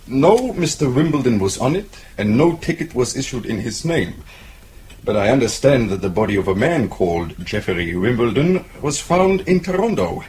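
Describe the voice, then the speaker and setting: An actor playing a suave, sceptical police captain in a radio drama, questioning in a halting, insinuating way. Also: male voice, mid-range voice, very animated, a little mellow, slight background noise, close to an everyday voice, low-quality recording. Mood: sly, sceptical. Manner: halting, insinuating, chuckling interrogator.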